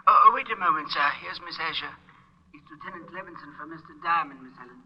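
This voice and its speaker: An actor playing a formal, deferential butler in an old-time radio drama. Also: male voice, on the high side, fast talker, slight background noise, lightly acted. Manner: formal, polite. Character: proper, deferential male butler. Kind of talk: 1940s-50s radio drama acting. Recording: dull old radio recording.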